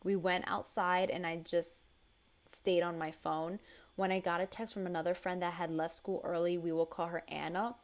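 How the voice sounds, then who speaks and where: A young woman hosting a casual advice podcast, blunt and chatty, with a tired, raspy voice as she reads listener stories aloud. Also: on the low side, level tone, normal speed. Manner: blunt, chatty, swears freely. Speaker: podcast host.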